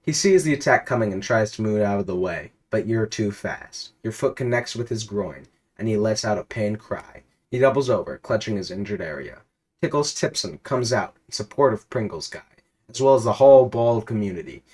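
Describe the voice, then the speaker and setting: A man with a fairly low voice reads absurd interactive fiction aloud. He tells it theatrically, leaning on the words with emphasis like a storyteller. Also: expressive, moderate pace, a little mellow, breathy edge.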